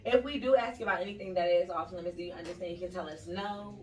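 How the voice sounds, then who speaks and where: A woman from Washington, D.C., co-hosting a podcast, animated and laughing often as she guides her guests through questions. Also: on the low side, fast talker, distant mic. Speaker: podcast co-host. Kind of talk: casual podcast chat. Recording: roomy sound from off-mic.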